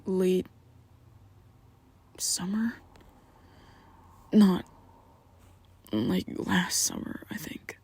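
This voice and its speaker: A woman voicing a shy, tender young male vampire best friend in an ASMR roleplay, whispering softly with hesitant, halting speech. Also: on the low side, husky, heavily acted.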